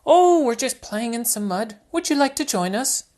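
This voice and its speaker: Squeaky little piggy girl all sorry and pleading, a woman doing the voice. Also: lively intonation, moderate pace, mellow, natural voice. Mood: playful. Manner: high, pleading, breathless apologies. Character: little girl pig, cheerful and apologetic.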